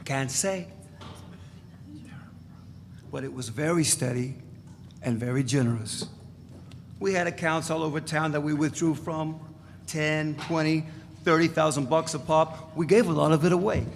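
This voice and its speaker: Man performing a defiant ex-radical, gravelly, wry, emphatic storyteller, staged book reading, reverberant hall. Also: on the high side, steady tone, a little slow, pauses often. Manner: emphatic storytelling, piling up lists. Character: brash ex-radical man recounting wild exploits, defiant and wry. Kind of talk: live dramatic reading of a novel. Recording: echoey hall, hissy live recording.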